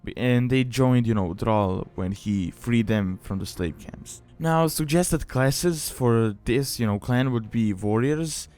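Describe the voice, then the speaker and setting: A man's voice: mid-range voice, slow pace, few pauses, a little mellow. A man giving a casual, explanatory tutorial voice-over as a video host, rambling and leaning often on filler phrases. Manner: rambling, peppered with "you know" and "like". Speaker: guide host, gaming video maker.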